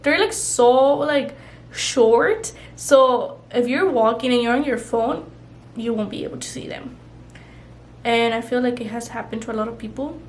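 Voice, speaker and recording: A woman's voice: on the high side, a little hiss or hum. A young woman vlogger chatting casually while doing her makeup, rambling with lots of "like" and "you know". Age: young adult. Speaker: beauty vlogger.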